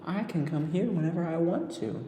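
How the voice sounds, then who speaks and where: A man's voice: on the high side, fairly quick, mellow, voice pushed up, natural voice. A man puts on a ten-year-old girl, her voice pushed far up, eager and stammering, sometimes squealing with insistence. Her lines ring in a roomy space with a long echo tail. A faint ambient hiss sits underneath. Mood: flustered.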